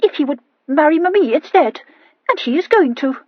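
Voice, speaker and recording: A woman's voice: high voice, animated, a little fast, no echo, highs cut off, voice pushed up. A woman voicing an eager young lady who stammers on her words.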